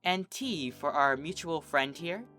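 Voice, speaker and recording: Guy doing a posh gentle pony lady, fancy and soft, bright tone. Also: on the high side, expressive, unhurried, faint music behind. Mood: gracious. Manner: refined, posh, soft-spoken. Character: refined elegant grown mare, gentle and doting.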